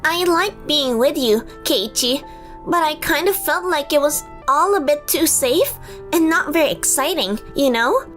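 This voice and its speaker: Woman acting high-pitched young romcom woman. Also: lively intonation, unhurried, a bit bright. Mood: breezy, smug. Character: young women in a romcom: breezy cheating girlfriend, indignant loyal twin. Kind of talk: manga dub acting. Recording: music under the voice.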